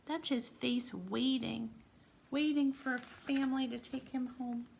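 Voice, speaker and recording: A woman's voice: high voice, fairly even intonation, measured pace, gravelly, a little hiss or hum. A woman reading a storybook aloud to young children, encouraging them and putting questions to them directly as friends. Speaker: children's read-aloud presenter.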